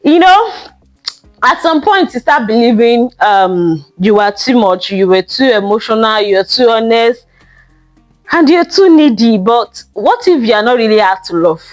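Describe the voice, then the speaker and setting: A young woman hosting a casual monologue podcast, talking in a loud, emphatic way and drawing out the words she stresses. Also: fairly high pitch, lively intonation, moderate pace, faint music behind. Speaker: podcast host.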